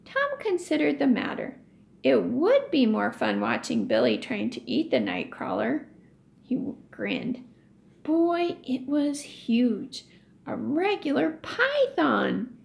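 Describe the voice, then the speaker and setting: Female teacher for children's read-aloud lesson: high, animated pitch, questioning and explanatory delivery. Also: slow pace, vocal fry.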